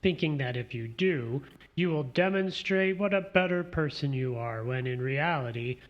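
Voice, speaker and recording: Guy doing a smug needling bully type in a radio play, mid-pitched, theatrical jabs. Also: normal speed, muffled recording, close to an everyday voice. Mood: taunting. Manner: taunting, theatrical. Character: smug, needling man who goads his partner.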